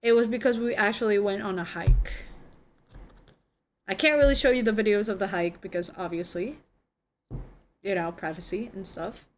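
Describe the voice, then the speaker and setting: A woman's voice: mid-range voice, moderate pace, long pauses. Young indonesian woman streamer, chatty and giggly, lots of "like", faint hiss behind. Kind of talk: casual chat with viewers.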